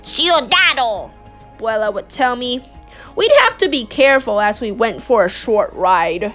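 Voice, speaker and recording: A woman reading a picture book aloud in a gentle, expressive way. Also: on the high side, moderate pace, soft background music. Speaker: storybook reader.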